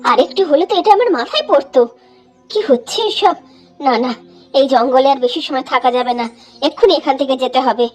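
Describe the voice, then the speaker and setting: A woman's voice: high voice, moderate pace, close to an everyday voice. A woman playing a weary, complaining, superstitious village housewife in a horror cartoon, speaking plaintively in a rustic way over music. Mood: alarmed.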